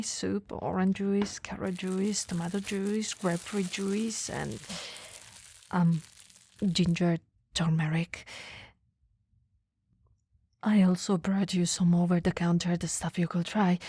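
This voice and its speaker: A flirty, fussing female boss, acted by a woman in an ASMR roleplay. Her voice is mellow and breathy, often dropping to a whisper, and very dry and close. She sounds easily flustered and gently teasing. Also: lowish voice, no echo.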